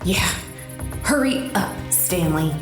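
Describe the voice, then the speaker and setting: Noir radio play crook: brusque, hard-boiled, impatient, swinging intonation, echoing space. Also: female voice, fairly low pitch, lively intonation, slow, faint music behind. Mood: irritated. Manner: brusque, slangy, no-nonsense. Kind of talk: noir audio drama acting. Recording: echoey, roomy sound.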